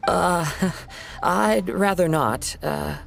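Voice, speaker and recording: An actor playing a calm, gentle young wandering swordsman in a video game, soft-spoken, measured and thoughtful. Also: high voice, gravelly, exaggerated voice. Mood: hesitant, gentle.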